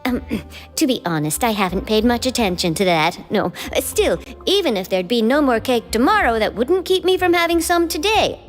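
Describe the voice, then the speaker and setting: A woman voicing a polite, gentle young non-human girl who speaks in a calm, matter-of-fact way. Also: a little high, moderate pace. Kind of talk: video game voice acting. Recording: game audio with reverb and music.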